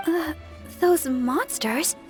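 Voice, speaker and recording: A woman playing a gentle, earnest young church maiden in a video game, polite and a little hesitant. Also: sits high, extremely slow, soft background music. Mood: hesitant, concerned.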